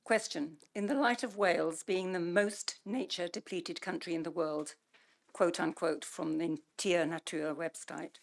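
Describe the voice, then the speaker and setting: A woman from the public gallery reading her question aloud to a council. Her voice is hoarse and froggy, gravelly and fairly low. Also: fairly even intonation, measured pace. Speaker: member of the public asking a question.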